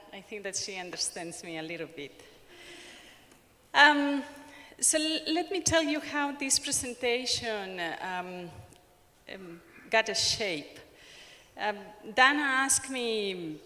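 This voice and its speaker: A woman from Ecuador with an Ecuadorian accent, giving an academic conference talk in a halting way, with frequent pauses and restarts. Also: medium pitch, animated, pushed and bright, long reverb tail. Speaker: conference speaker.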